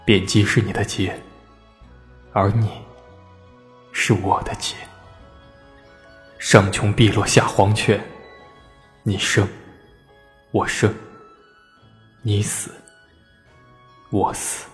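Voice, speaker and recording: A man's voice: fairly low pitch, little pitch movement, takes it slowly, long pauses. A man playing an embittered, lovelorn young noble husband in a Chinese historical audio drama. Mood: grieving, devoted. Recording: long reverb, faint music behind.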